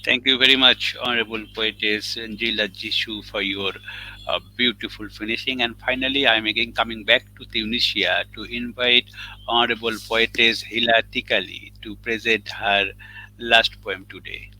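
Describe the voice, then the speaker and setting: Formal male host with bright tone. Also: on the low side, steady tone, normal speed, a little hiss or hum, poor audio. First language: Bengali. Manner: formal, repetitive introductions. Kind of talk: formal event hosting.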